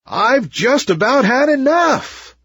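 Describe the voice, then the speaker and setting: Storybook role: proud, vain talking tree, played by a man, pitched high, theatrical and plaintive, swooping delivery. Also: very animated, slow, a bit bright, husky, no echo, clean studio sound, heavily acted. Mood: indignant. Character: vain, proud copperpod tree, indignant then pleading.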